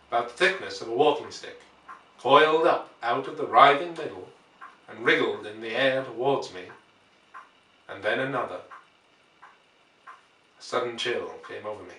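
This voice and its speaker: A man reading a classic novel aloud as dramatic narration, with measured, theatrical phrasing. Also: medium pitch, sharp tone. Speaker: audiobook reader. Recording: roomy echo, distant microphone.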